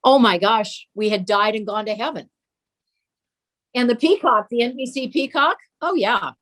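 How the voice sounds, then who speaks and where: A woman's voice: a little high, normal speed, fairly bright tone. An older woman being interviewed, telling family memories in an anecdotal, explanatory way. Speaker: interview guest. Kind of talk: reminiscing in an interview.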